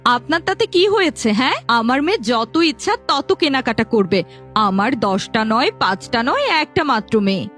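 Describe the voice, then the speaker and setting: A woman playing a sharp-tongued mother who dotes on her spoiled daughter, in a melodramatic cartoon fairy-tale style. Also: a little high, sing-song, normal speed. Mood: defiant, boastful. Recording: faint background music.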